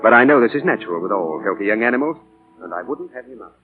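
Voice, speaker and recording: A man's voice: on the low side, lively intonation, quick pace, natural voice. A man acting an earnest, dramatic doting father. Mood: reflective. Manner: earnest, theatrical, hesitant when moved. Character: doting, indulgent father and novelist, earnest and idealistic. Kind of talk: 1940s radio drama acting with diary narration. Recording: muffled old 1940 radio broadcast, music behind.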